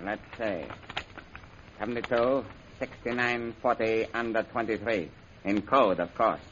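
An actor playing a commanding, methodical Chinese general in an old-time radio serial, speaking formally and deliberately. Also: male voice, lowish voice, steady tone, a bit bright. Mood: measured authority. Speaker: general giving orders and reading out messages. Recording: dull, noisy old radio recording.

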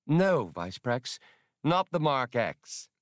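A man's voice: medium pitch, big pitch swings, slow pace, no echo, studio quality, voice pushed up. A man voicing a proud, effusive female alien mistress of ceremonies with formal, theatrical speech in an audiobook. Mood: careful, deferential.

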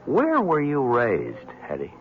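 Young american reporter, skeptical, pitch swings a lot, muffled hissy old radio drama. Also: male voice, wildly swooping pitch, takes it slowly. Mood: earnest. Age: young adult. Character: young, skeptical, placating newspaperman. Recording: dull, noisy old radio broadcast.

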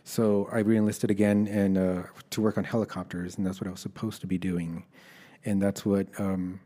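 A middle-aged American man speaks in a fairly low voice with a soft, dark tone. He is an interview guest, recounting personal stories in a candid, conversational style. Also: level tone, moderate pace. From Los Angeles, United States. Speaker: guest, army veteran.

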